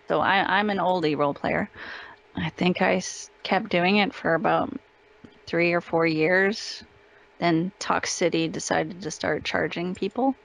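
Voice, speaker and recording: A woman's voice: low voice, vocal fry, poor audio. A woman answering as a guest in a casual interview, telling stories in an easygoing way.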